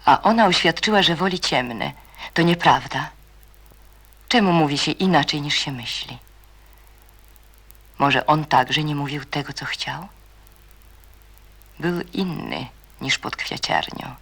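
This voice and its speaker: Slow, husky, creaky male narrator. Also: a little high. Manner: hushed, reflective. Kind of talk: dramatic literary narration.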